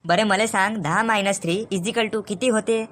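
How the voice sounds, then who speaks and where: A woman's voice: mid-range voice, fairly even intonation, moderate pace, a little hiss or hum, natural voice. A woman voicing a hot-tempered, threatening male tuition teacher in a comic cartoon, with a Vidarbha Marathi accent and scolding, repeated questions. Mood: furious.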